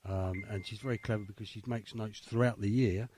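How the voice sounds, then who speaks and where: A man's voice: lowish voice, fairly even intonation, a little fast, a little mellow, slight background noise. A man co-hosting a radio interview, speaking in casual chat. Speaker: co-host.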